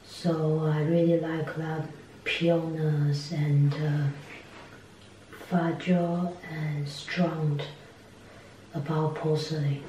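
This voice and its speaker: A woman with a Taiwanese accent gives interview answers in simple, halting phrases. She speaks slowly in a slightly low register. The room is strongly reverberant. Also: little pitch movement, dark tone. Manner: halting, simple phrasing in non-native English. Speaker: interviewee, ceramic artist. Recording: echoey room, distant mic, faint noise.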